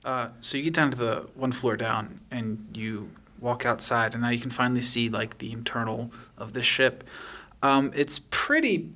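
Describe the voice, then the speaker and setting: A man improvising narration as game master of a tabletop game, describing things in an informal, halting way. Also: medium pitch, pushed and bright.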